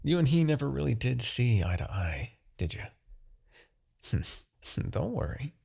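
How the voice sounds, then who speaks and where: A man playing a smug, menacing demon king, obsessed with his rival, in a theatrical, deliberate fantasy roleplay monologue with a husky, gravelly voice. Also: medium pitch, very animated, a little mellow, exaggerated voice. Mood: gloating, menacing. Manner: theatrical, gloating, deliberate, grandiose.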